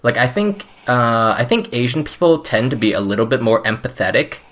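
A man's voice: mid-range voice, expressive, fairly quick, fairly bright tone. A young man whose first language is Cantonese, chatting casually in a laid-back way, full of fillers and hedges. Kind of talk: casual chat.

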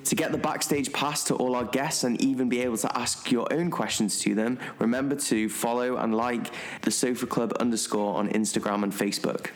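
A man's voice: medium pitch, steady tone, fairly quick, hardly any pauses. A man hosting a podcast, reading a short intro and then asking questions with encouraging interjections. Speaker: podcast host.

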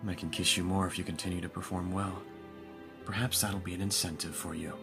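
A man voicing an aristocratic young vampire master, commanding yet doting, formal and measured, in an audio roleplay. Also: low voice, flat delivery, creaky. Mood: tender, doting. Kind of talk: ASMR audio roleplay acting. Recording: music behind the voice. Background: background music.

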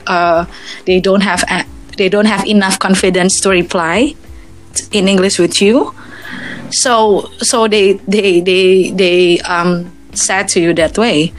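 A woman's voice: mid-range voice, rough recording. A woman from Indonesia with an Indonesian accent, giving earnest advice in casual English chat, often restarting and repeating words.

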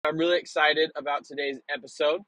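A man's voice: on the high side, fairly even intonation, brisk, loud music behind the voice, low-quality recording. A young man hosting a casual solo podcast, slightly congested, talking informally and confessionally in a rambling, hesitant way. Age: young adult. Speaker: podcast host.

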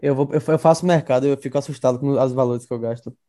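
A man's voice: mid-range voice, steady tone, very fast, mellow. A young Brazilian man in his twenties in casual podcast chat, laid-back and slangy, tripping over words now and then. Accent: Brazilian Portuguese. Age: 20s. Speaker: podcast co-host.

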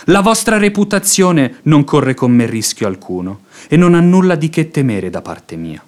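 Unctuous pious seducer, florid and fervent. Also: male voice, on the high side, very animated, moderate pace, darkish tone. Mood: insinuating. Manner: florid, unctuous, declamatory pleading. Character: pious hypocrite seducer, fawning, impassioned, silver-tongued man. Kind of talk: theatrical radio drama acting.

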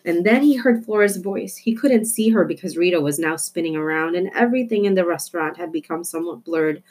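Low-pitched woman reading a children's story. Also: expressive, brisk, a little mellow. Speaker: storybook reader. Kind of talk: expressive children's book narration.

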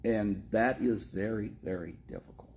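A man's voice: lowish voice, normal speed, creaky, a little mellow, slight background noise. A middle-aged man from Tennessee with a Tennessee accent, a pastor chatting about scripture in a joking, self-mocking way. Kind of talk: casual chat on scripture.

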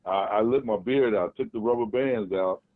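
A man, co-host of a casual chat show, telling stories in an animated way. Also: on the low side, normal speed, no echo. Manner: animated storytelling. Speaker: podcast co-host. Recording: tinny phone-like line, dry.

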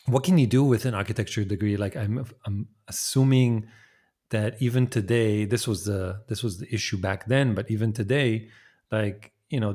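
A man with a fairly low voice hosting a podcast. He speaks conversationally, setting out the questions and topics for his guest. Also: darkish tone. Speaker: podcast host. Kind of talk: interview questions.

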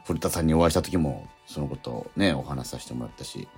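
A man, middle-aged, hosting a radio show in a casual, reflective way, thinking aloud in halting phrases. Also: a bass voice, lively intonation, a little mellow, husky, faint music behind.

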